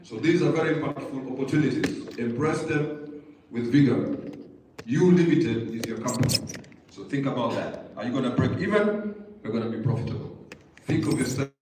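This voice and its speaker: A man's voice: mid-range voice, lively intonation, normal speed, a little mellow. A man hosting an event in a motivational style, exhorting the audience. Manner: exhorting, rhetorical questions. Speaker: event host, master of ceremonies. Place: echoey event hall with audience. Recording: very echoey, distant mic, faint noise.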